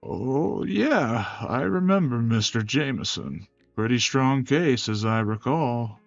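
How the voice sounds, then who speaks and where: A man voicing a genial but wary older retired male prosecutor in a visual novel, unhurried and folksy. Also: mid-range voice, lively intonation, creaky, no echo, highs cut off, faint music behind. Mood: reminiscing, curious. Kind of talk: visual novel character voice acting.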